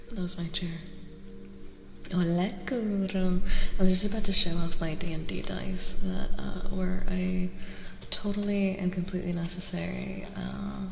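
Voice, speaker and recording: A soft-spoken woman hosting an ASMR livestream, often near a whisper, greeting viewers in a welcoming way and drifting between repeated phrases and asides. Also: on the low side, creaky. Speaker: livestream host. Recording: roomy reverberant sound with faint noise.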